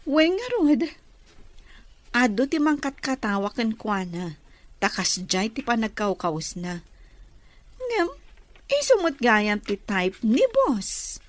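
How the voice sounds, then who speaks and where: A woman's voice: fairly high pitch, sing-song, slow, long pauses, no room sound. A woman with a Filipino accent playing a gossipy, jealous office clerk in a radio comedy drama.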